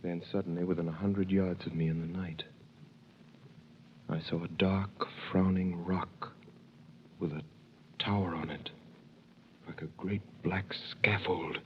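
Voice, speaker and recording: A man narrating an old radio drama in the first person, grave and measured. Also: sits low, flat delivery. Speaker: narrator playing the hero. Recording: dull old radio recording with faint hiss.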